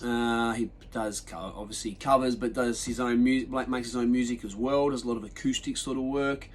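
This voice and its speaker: An Australian man hosting his own podcast solo, sounding nasal and chesty from a sinus infection, rambling through a relaxed story with lots of ums and restarts. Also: mid-range voice, moderate pace, slight background noise. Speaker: podcast host. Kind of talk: casual solo podcast chat.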